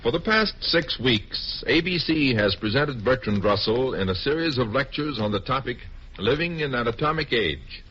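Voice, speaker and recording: A man with an American accent reads formal, measured announcements in the style of a 1950s radio announcer. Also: mid-range voice, lively intonation, bright, forceful tone, a little hiss or hum. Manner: formal, measured, scripted delivery. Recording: dull, muffled 1950s radio recording.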